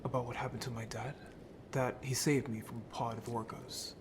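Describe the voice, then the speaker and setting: A man's voice: medium pitch, little pitch movement, normal speed, slight background noise, lightly acted. A man playing a guilt-ridden, anxious young merman in a fantasy audio drama, speaking hesitantly and emotionally. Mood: subdued, regretful. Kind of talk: scripted audio drama acting.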